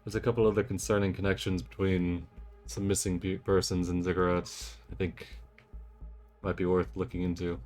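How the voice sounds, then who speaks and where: A man with a low, fairly monotone voice takes part in a tabletop game. He is measured and casual, reasoning aloud about his character's moves. Faint music sits behind him. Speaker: player. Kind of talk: casual tabletop roleplay, planning and describing actions.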